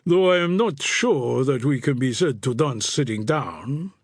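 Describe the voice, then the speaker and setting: A man voicing a suave, amorous count, speaking slowly and formally. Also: mid-range voice, animated, breathy edge, no echo, clean studio sound. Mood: earnest. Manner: slow, formal, earnest.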